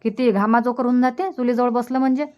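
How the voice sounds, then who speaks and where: Woman doing a cartoon village mom, bit high pitched, practical, bossing gently, varhadi marathi accent. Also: fairly even intonation, fast, muffled recording, lightly acted. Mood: matter-of-fact. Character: village mother, practical and advising family elder. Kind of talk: colloquial cartoon comedy dialogue.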